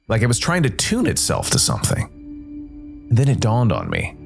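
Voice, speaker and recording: A man narrating a first-person noir story as a ghostly jazz musician investigator, with a dramatic, deliberate delivery and weighted pauses. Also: lowish voice. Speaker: solo storytelling podcast narrator.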